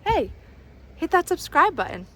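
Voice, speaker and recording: A woman's voice: very high voice, sing-song, moderate pace, pushed and bright. A woman hosting a travel vlog, narrating in an upbeat, playful and theatrical way. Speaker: travel show host. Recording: music behind the voice. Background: background music.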